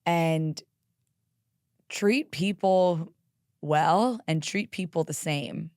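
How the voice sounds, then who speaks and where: Young woman podcast host, hoarse and raspy, candid and profane, lower voice, dry close sound. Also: very slow, dry recording. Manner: candid, profane, animated with laughs. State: hoarse from karaoke singing. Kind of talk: solo podcast monologue.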